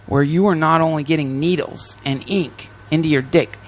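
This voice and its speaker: A man's voice: a little high, steady tone, moderate pace. A male comedian hosting a podcast in a casual, rambling, self-deprecating way, swearing often and restarting his sentences.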